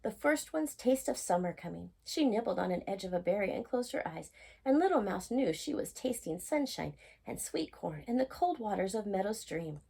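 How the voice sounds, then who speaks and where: A woman reads a children's story aloud with lots of expression. Her voice is mellow and husky, and her pitch moves in lively swings as she tells it. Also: moderate pace, low-quality recording. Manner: animated, acts out characters' lines. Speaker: storyteller reading a picture book aloud.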